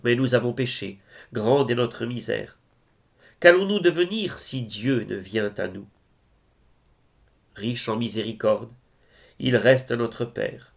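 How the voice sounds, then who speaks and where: Slow, gravelly male poetry reader. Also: medium pitch. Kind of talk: solemn devotional poetry recitation.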